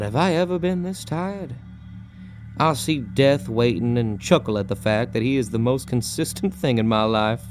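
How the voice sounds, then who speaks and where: A man's voice: mid-range voice, close to an everyday voice. A man playing a world-worn, weary taxman of the afterlife, narrating his own story with wry resignation. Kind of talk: first-person dramatic story narration.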